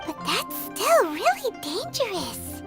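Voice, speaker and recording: A woman voicing a tiny, childlike fairy companion in a video game, squeaky, curious and earnest. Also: big pitch swings, slow, over loud music, heavily acted. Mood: curious, puzzled. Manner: refers to self in third person, inquisitive.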